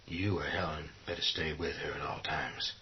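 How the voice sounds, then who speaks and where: A man's voice: very deep voice, steady tone, moderate pace, a little hiss or hum. An actor playing a commanding, hard-headed man in a radio horror drama, blunt and giving clipped, urgent orders. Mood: grim, controlled. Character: commanding, hard-headed man leading zombie siege survivors. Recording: dull lo-fi sound.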